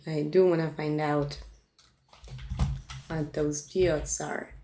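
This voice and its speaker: A woman's voice: low-pitched, steady tone, slow pace, mellow. A woman from Mexico, chatting casually with her viewers while playing a farming game. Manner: chatty, talks to her viewers, reacts aloud. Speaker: streamer. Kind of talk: casual gameplay commentary.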